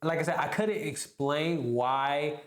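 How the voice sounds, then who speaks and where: A man in his twenties hosting a casual podcast chat. He talks in an animated way, laughs often and keeps saying "bro.". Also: a little high, takes it slowly, roomy sound. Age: 20s. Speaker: podcast host. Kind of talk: casual conversation.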